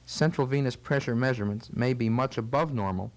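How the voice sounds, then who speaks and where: A man's voice: mid-range voice, brisk. Man giving formal, precise medical explanations. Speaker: physician interviewed as expert. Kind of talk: measured medical teaching interview.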